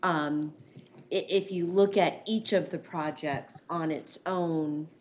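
A woman advising a board at a public meeting, explaining in a measured, hesitant way. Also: low voice, steady tone. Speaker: school official advising the board. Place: public meeting room, indoors. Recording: noisy meeting room recording.

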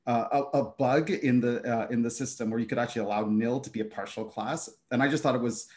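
A middle-aged man with German as his first language talks through a video call from his room at home, pausing often, starting sentences over and filling gaps with "uh" and "um". His voice sits at an ordinary, middle pitch for a man. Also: steady tone, a little mellow. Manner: hesitant, many fillers and restarts. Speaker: game designer, keynote speaker. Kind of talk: conversational panel talk.

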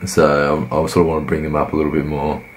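A man, croaky from a cold, giving laid-back, rambling voice-over commentary on his workout. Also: extremely low pitch, flat delivery, fast, slight background noise. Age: 20s. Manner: laid-back, rambling, many fillers and restarts. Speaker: fitness vlogger.

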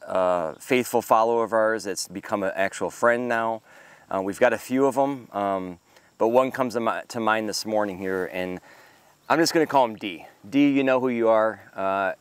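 Earnest, smooth-voiced man talking outdoors. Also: on the low side, no room sound. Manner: earnest, grateful, thoughtful with frequent fillers. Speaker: homesteading channel host. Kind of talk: casual devotional talk to camera. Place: outdoors in a park-like yard with trees. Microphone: camera close up at arm's length.

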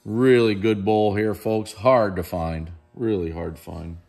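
A man's voice: lowish voice, steady tone, a little slow, gravelly, a little mellow, faint music behind. A middle-aged man presenting auction lots in a casual, enthusiastic way, recorded on a handheld camera in a large warehouse room. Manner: enthusiastic, chatty, praises pieces. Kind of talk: casual walkthrough of auction items.

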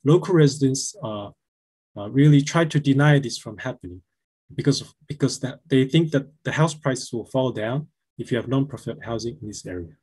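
Husky man presenting with halting fillers. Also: mid-range voice, darkish tone. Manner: halting, many filler sounds. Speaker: presenter of a housing co-op. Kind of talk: conference presentation over video call. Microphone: video call.

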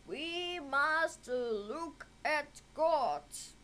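Woman reading as a slow, coaxing storybook uncle. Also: sits high, lively intonation, a bit bright, a little hiss or hum, full character voice. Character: smooth-talking, coaxing adult uncle, male storybook villain.

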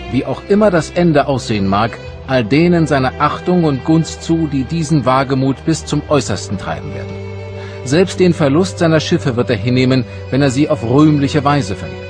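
An actor playing a stern naval minister, formally reading out orders in a measured way for a documentary drama. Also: male voice, medium pitch, natural voice. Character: official naval minister relaying his emperor's stern orders. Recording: loud background music under the voice. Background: music.

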